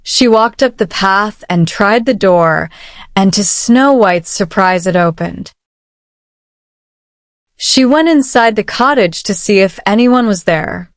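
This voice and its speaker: A woman narrating a children's picture book aloud with measured, careful phrasing. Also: mid-range voice, expressive, no echo, studio quality. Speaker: storybook narrator. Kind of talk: children's read-aloud storytelling. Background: soft music.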